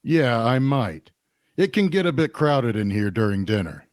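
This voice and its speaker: An actor playing a burly pub keeper in an audio drama, halting and polite but unimpressed. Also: male voice, medium pitch, sing-song, no room sound, clean studio sound, natural voice. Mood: awkward, nudging. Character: burly, no-nonsense pub keeper, a man, mildly impatient.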